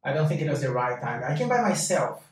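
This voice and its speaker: Man, late 30s, halting and hesitant, mid pitch, distant mic in a heavily echoing room. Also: sing-song. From Brazil. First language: Portuguese. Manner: hesitant, halting, searches for words. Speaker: interview guest.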